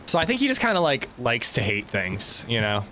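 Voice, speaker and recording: A man's voice: medium pitch, huge pitch swings, a little fast, sharp tone, slight background noise, rough recording. A man streaming a video game, chatting casually with his chat in a rambling, chatty way and swearing freely. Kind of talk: casual livestream chat while gaming.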